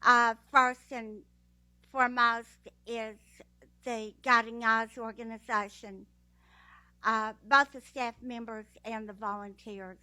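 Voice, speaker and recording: A woman with a Texas accent giving a slow, heartfelt graduation speech with fillers. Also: on the high side, level tone, pushed and bright, smooth, low-quality recording. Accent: Texan. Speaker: guide dog graduate. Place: ceremony room.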